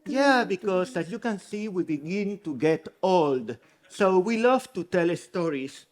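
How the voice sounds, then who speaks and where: A man's voice: sits high, lively intonation, a little slow. A man from Spain with a mixed Spanish and French accent, earnestly explaining as a conference speaker. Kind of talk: conference talk. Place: conference hall.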